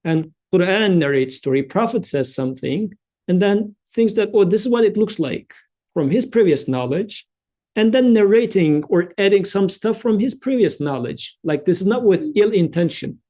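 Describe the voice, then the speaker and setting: Male study-circle leader, high pitch, soft warm tone, patient and explanatory. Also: animated, normal speed. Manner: explanatory, conversational, frequent "so" and "like".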